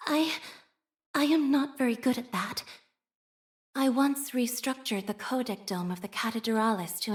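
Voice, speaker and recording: A woman voicing a devout, shy young priestess in a video game, speaking formally and with measured, prayer-like phrasing and a machine-minded reserve. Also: mid-range voice, close to an everyday voice. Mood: flustered, shy. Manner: formal, measured, liturgical phrasing with hesitant shyness. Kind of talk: scripted game character voice lines.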